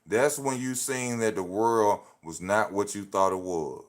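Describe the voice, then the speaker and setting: A man in his thirties talks straight into his phone on a live stream, easy and slangy. His voice sits on the low side, and he drives home each point by saying it twice. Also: unhurried. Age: 30s. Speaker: livestream host. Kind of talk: casual motivational live talk.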